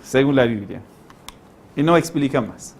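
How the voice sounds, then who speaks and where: A man with a non-native, foreign accent giving an informal lecture as a teacher, didactic and halting, stressing key words and posing rhetorical questions. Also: mid-range voice, animated, creaky. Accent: foreign, non-native Spanish. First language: not Spanish. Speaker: language-learning teacher, lecturer.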